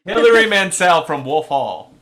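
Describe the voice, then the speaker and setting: A man actor joking deadpan in a casual chat and breaking into laughter. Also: high voice, normal speed, a loud crowd. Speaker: actor and cast member.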